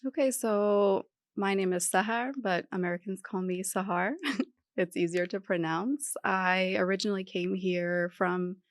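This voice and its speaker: A woman's voice: medium pitch, fairly even intonation, measured pace, no echo. A middle-aged woman from Iran, a podcast guest talking candidly and with determination about her career change. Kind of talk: casual interview talk.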